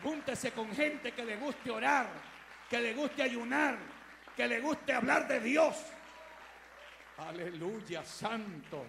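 A Salvadoran man preaching a fervent sermon as pastor, building to shouted repetitions, recorded live before a congregation. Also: extremely high pitch, lively intonation, slow pace, vocal fry, a crowd in the background, noisy background. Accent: Salvadoran Spanish. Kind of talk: Pentecostal-style sermon.

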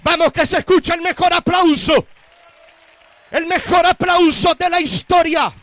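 High-voiced Puerto Rican man preaching. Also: fairly even intonation, measured pace, fairly bright tone, dry recording. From Puerto Rico. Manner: emphatic, rhetorical repetition, addresses listeners directly. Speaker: guest preacher. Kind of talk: sermon to a large congregation.

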